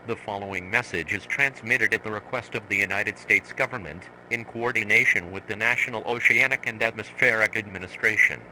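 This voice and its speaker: A man's voice: lowish voice, pushed and bright, a little hiss or hum, low-quality recording. A man's synthetic text-to-speech voice delivering a scripted emergency broadcast in a formal, authoritative manner. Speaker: emergency alert announcer.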